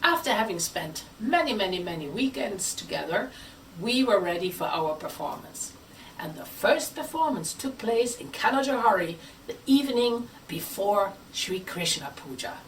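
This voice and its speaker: Female storyteller, theatrical and playful, very expressive pitch, recorded at a distance from the mic. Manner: theatrical, dramatic pauses, playful acted asides. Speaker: theatre company member telling its history. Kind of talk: scripted storytelling to an audience. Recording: faint background noise, distant microphone.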